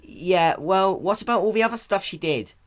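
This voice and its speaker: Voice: medium pitch, animated, normal speed, pitched above the performer's own voice, close to an everyday voice. A performer voicing a young boy baby dragon in a cartoon-based story reading, anxious, protective and pleading, with a stammer. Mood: worried, protesting.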